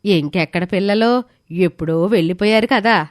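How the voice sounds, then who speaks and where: A woman's voice: medium pitch, normal speed, dry recording, studio quality, lightly acted. A woman voicing a defensive, scolding hostel warden with a sarcastic, reproachful manner.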